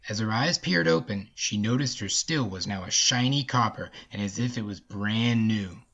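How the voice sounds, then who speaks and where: A man's voice: fairly low pitch, normal speed, a bit bright, husky, lo-fi sound. A man narrating a scripted folksy story as the narrator of an audio drama.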